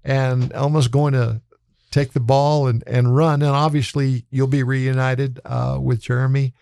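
A man with a gravelly, fry-edged voice hosts a radio interview. He sounds friendly and encouraging, often restarting his words as he goes. The studio sound is dry and close. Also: medium pitch, little pitch movement, a little slow, darkish tone, no echo. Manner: friendly, encouraging, repeats words when starting. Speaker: radio host.

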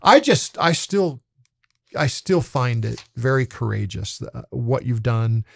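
A man with a gravelly, fry-edged voice hosts a radio interview. He sounds friendly and encouraging, often restarting his words as he goes. The studio sound is dry and close. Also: mid-range voice, lively intonation, takes it slowly, no echo. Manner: friendly, encouraging, repeats words when starting. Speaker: radio host.

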